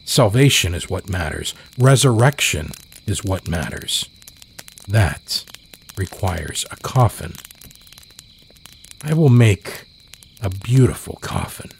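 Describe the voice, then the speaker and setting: Slow, low-voiced male horror narrator. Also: big pitch swings, gravelly, a little hiss or hum. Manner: deliberate, dramatic pauses. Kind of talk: dramatic scripted narration.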